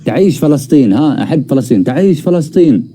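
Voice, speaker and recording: A man's voice: fairly high pitch, lively intonation, fast talker, dark tone, slight background noise. A man bantering casually as a live stream host, teasing and prodding with questions.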